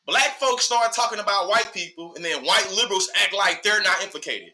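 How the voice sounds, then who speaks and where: A man's voice: high-pitched, brisk. A man in his early thirties from Texas with a Southern accent, talking casually and animatedly in slangy, rhetorical bursts as a podcast guest. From Texas, USA. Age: early 30s. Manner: animated, rhetorical, slangy, often checks listener follows. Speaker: podcast guest, social media educator. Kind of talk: casual, opinionated conversation.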